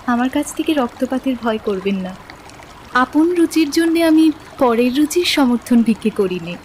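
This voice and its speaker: A woman's voice: sits high, expressive, normal speed, a little mellow, a little hiss or hum. An actress playing a composed, self-assured young woman being courted in an audio drama, speaking with dignity. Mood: calm, assured. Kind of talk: Bengali audio drama acting.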